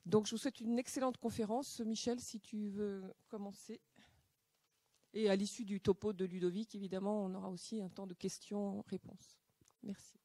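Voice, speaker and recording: A woman introducing the speakers at a medical conference, polite and halting, with many fillers. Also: mid-range voice, steady tone, mellow, no echo. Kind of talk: event introduction. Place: lecture hall.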